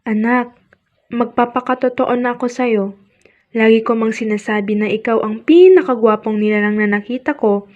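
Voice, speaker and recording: A reassuring Filipino mother played by a woman in a radio drama. Her voice is fairly high and earnest, on a dull, lo-fi recording. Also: moderate pace, darkish tone, natural voice. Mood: tender, reassuring. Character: tender, reassuring mother advising her son. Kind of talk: amateur radio drama acting.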